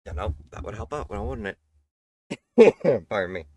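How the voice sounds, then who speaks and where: A slightly raspy, under-the-weather man hosts a casual game stream, greeting his viewers with formal courtesy and frequent chuckles. His voice sits low but swings widely in pitch. The sound is dry and close. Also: animated, moderate pace, a little mellow, dry recording. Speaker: livestream host. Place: at home.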